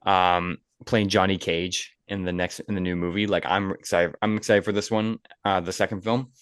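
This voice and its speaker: A man with a very deep voice hosts a casual film discussion. He sounds enthusiastic and opinionated, speaking conversationally. The recording is dry and close, with very little room sound. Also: level tone, moderate pace, dry recording. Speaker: podcast host.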